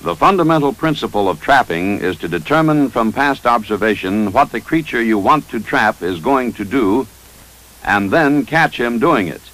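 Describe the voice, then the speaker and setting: A man with an American accent reading a scripted 1950s instructional film narration in a measured, authoritative, instructive manner. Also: mid-range voice, expressive, fairly bright tone, poor audio. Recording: 1955 training film soundtrack.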